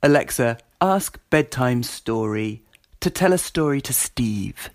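A man's voice: medium pitch, expressive, takes it slowly. A man hosting a podcast, giving an informal spoken demonstration. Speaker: podcast host. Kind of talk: informal spoken demo of a voice assistant skill.

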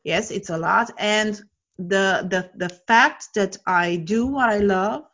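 A woman's voice: medium pitch, slow, a bit bright. Woman, 40s, interview guest, earnest, enthusiastic, muffled lo-fi sound. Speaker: guest, coach. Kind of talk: casual interview conversation.

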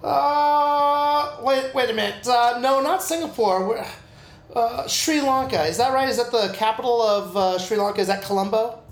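A man's voice: very high voice, normal speed. A jokey man co-hosts a casual podcast, cracking wisecracks between questions. His voice rings in an echoey room over a call. He laughs often. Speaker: podcast co-host.

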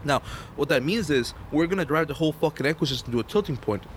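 A man in casual podcast chat, swearing often and explaining with rising excitement. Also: a little high, fast, a little hiss or hum. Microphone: shared podcast microphone.

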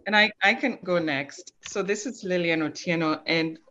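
Woman, panel guest, earnest and halting, muffled line. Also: on the low side, sharp tone. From Kenya. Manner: earnest, many restarts. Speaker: guest, agriculture department staff. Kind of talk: video call panel talk.

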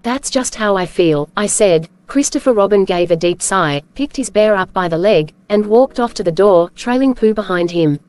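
A woman reading scripted storybook narration as host, with measured, clear phrasing. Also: mid-range voice, animated, a little mellow.

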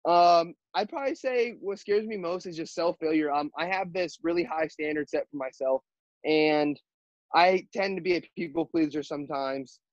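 A teenage high school quarterback with a high-pitched voice, answering interview questions earnestly with many fillers and restarts. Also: male voice, dry recording. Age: teens. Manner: halting, filler-heavy, earnest. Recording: dull, muffled audio.

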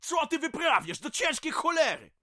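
Drunken middle-aged villager, vulgar, violent, rustic audio drama, forceful sharp voice, wildly swooping pitch. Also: male voice, big pitch swings, normal speed, dry recording, clean studio sound, exaggerated voice. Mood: raging. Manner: vulgar rustic ranting, swearing and threatening.

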